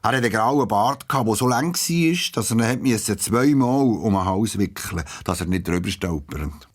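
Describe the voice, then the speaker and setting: A man with a Swiss German accent narrating a children's radio play as an expressive storyteller. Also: fairly low pitch, normal speed.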